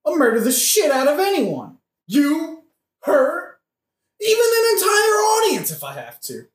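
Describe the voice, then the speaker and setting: A woman's voice: high voice, huge pitch swings, unhurried, full character voice. Woman acting a hot-headed, swooping young avenger Laertes. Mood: vengeful. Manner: brash, blustering, sweary. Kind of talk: satirical modern-language audio play acting. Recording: roomy, echoing audio-play recording.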